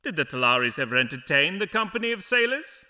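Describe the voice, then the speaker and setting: An actor playing a pompous, imperious young judge in a fantasy audio drama, commanding and officious. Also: male voice, a little high, sing-song, quick pace, pushed and bright, exaggerated voice.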